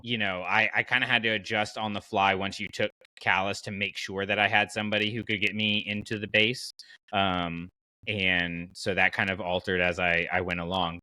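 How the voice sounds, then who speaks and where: A man's voice: low voice, bright, forceful tone, dry recording. A man hosting a podcast in a casual, enthusiastic and conversational way. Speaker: podcast host.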